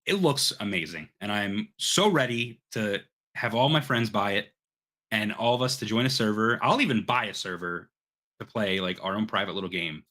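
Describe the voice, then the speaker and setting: Male podcast host, bright forward voice, eager and informal, sweary casual gaming chat. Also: fairly low pitch, animated, moderate pace.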